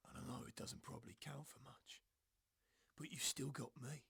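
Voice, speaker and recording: An actor playing a guilt-ridden, loyal man in an audio drama, speaking in a husky, hushed, earnest way. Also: mid-range voice, fairly even intonation, moderate pace, no room sound, exaggerated voice. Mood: remorseful. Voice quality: husky, breathy.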